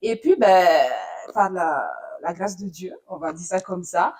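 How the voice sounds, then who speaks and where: A woman's voice: on the low side, a little slow, a little mellow. A woman born in Italy, an Italian speaker, telling her career story as a radio journalist in an interview, thoughtful with many fillers.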